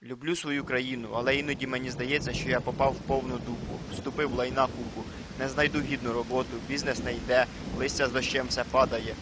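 A man's voice: mid-range voice, little pitch movement, fast, few pauses, noisy background. A man narrating his own amateur cartoon as its creator. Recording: music behind the voice.

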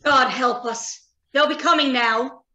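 An actress playing a grim, alarmed scientist in old-time radio drama style. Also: female voice, high-pitched, measured pace, heavy background noise.

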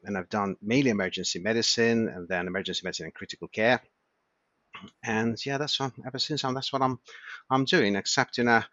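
Hungarian-accented male doctor, 50s, halting delivery, lo-fi sound. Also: sits low, bright, forceful tone, dry recording. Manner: hesitant, many restarts and fillers. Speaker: emergency physician guest. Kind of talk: casual interview chat.

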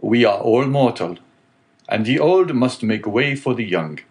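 A man's voice: medium pitch, expressive, unhurried, darkish tone. A man voicing an eager, anxious ship owner. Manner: eager questioning. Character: middle-aged male ship owner, anxious, practical.

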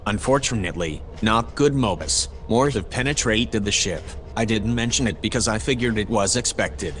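A man acts a composed sci-fi analyst with a lowish voice. He narrates what he observes in formal, measured sentences, over some background ambience and faint noise. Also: fairly bright tone, close to an everyday voice. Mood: composed, observant. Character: composed analyst reporting events to his superior. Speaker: voice actor. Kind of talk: audio drama narration.

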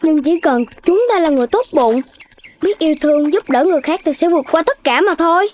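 An actor voicing an excitable young animal child in a children's cartoon, with an exclamatory, childlike way of talking. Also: high voice, brisk, slight background noise. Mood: earnest.

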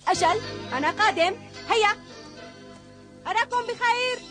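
A woman voicing a cheerful young boy who studies kung fu, talking brightly and eagerly in a cartoon dub. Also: very high voice, normal speed, music in the background. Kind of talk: Arabic cartoon dubbing.

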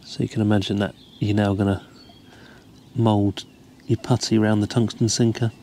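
A man, calmly explaining step by step how to tie a fishing rig, recorded outdoors. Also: lowish voice, normal speed, a little hiss or hum. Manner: relaxed, explains each step while tying. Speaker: carp fishing angler demonstrating a rig. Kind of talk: casual step-by-step tutorial. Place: outdoors by the water, seated over a tackle box.

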